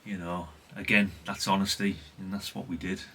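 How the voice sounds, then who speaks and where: A man's voice: low voice, little pitch movement, normal speed, sharp tone, slight background noise. A middle-aged man from chats unscripted as a hobbyist vlogger, rambling and conversational with repeated catchphrases. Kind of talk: unscripted casual chat, showing an item.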